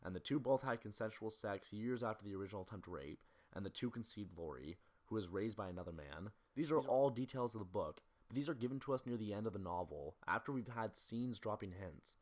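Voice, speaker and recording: A man narrates a scripted film analysis in a fairly low voice. He moves along at a rapid clip and sounds critical and opinionated, like a video essayist making a case. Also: animated.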